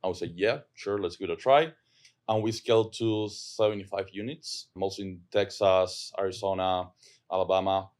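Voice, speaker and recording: A man's voice: low-pitched, steady tone, normal speed, a little mellow. A man with an Argentine accent, a property manager talking as a podcast guest, earnest and often repeating words as he thinks. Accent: Argentine Spanish. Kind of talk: casual podcast chat.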